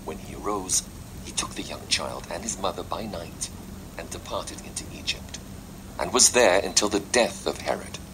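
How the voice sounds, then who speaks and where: A man reading scripture as a measured, solemn audiobook narrator, played through a small device speaker. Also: deep voice. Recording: small speaker re-recorded, loud noise.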